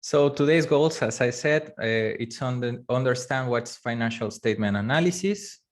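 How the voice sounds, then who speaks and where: A man whose first language is Spanish, teaching a live online course, talking in a halting way with many fillers and restarts. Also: mid-range voice. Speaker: course instructor. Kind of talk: live online class lecture.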